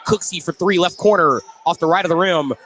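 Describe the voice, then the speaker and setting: A male radio announcer delivers live basketball play-by-play in a fairly high voice. He speaks from inside a gym, with faint ambient noise behind his commentary. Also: fairly quick, a bit bright.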